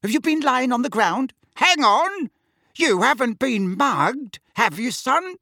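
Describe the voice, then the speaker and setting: A man voicing a blustering, angry middle-aged father in a storybook reading, loud and raised high. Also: expressive, slow pace, dry recording, studio quality, heavily acted. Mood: worried bluster.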